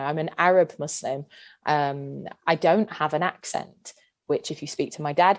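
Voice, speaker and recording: Podcast guest: woman in her thirties from Yorkshire, low voice, earnest and deliberate. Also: darkish tone. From Yorkshire, England. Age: 30s. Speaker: guest, PE teacher and former athlete. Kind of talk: reflective podcast interview.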